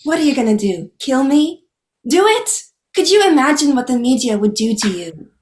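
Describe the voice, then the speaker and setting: Lady playing feisty captive woman, high voice, confrontational, echoey room. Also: very animated, moderate pace. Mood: defiant. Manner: confrontational, emphatic. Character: defiant, outspoken captive woman standing up to gunmen. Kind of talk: screenplay table read.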